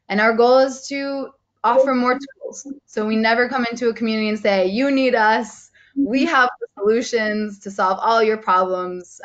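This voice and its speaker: A woman's voice: medium pitch, dull audio. A woman coach presenting in an earnest, enthusiastic way during an online training session, with frequent ums and you knows.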